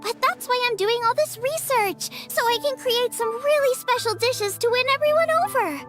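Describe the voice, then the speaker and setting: A woman's voice: very high voice, normal speed. A woman voicing a cheerful, eager young chef in a game cutscene, over music. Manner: bubbly, energetic.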